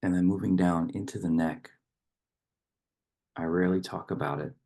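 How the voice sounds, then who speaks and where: Very deep, husky-voiced man, meditative delivery. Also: fairly even intonation. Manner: gentle, unhurried instruction with long pauses. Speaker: guided meditation leader and poetry reader. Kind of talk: slow poem reading and guided meditation.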